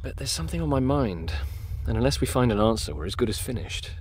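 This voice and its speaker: A man's voice: mid-range voice, animated, fast talker, a little mellow, a little hiss or hum, close to an everyday voice. An actor playing an earnest, hopeful male rabbit leader in a radio drama, speaking thoughtfully and persuasively.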